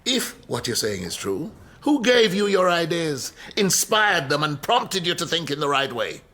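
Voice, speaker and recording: An actor in a radio drama playing an arrogant, defensive middle-aged university professor, wordy and rhetorical. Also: male voice, a little high, very animated, measured pace, sharp tone, heavily acted. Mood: domineering. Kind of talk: naturalistic radio drama acting.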